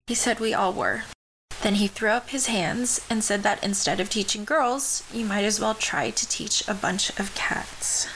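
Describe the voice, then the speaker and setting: A woman's voice: mid-range voice, unhurried, a bit bright, slight background noise, rough recording. An actress playing a melodramatic, aggrieved teenage schoolgirl in a modern audio drama. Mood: wounded, aggrieved.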